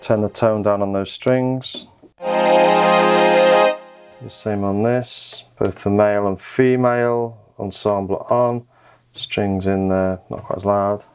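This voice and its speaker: A man's voice: on the low side, steady tone, unhurried. A man giving a casual hands-on music gear demo, thinking aloud in an offhand way. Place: home music studio.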